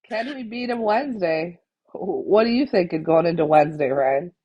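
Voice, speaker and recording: A woman's voice: fairly low pitch, lively intonation, normal speed, mellow, muffled recording. A woman with a head cold, co-hosting and reading out viewer comments with frequent laughter. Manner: laughs often, reads comments aloud.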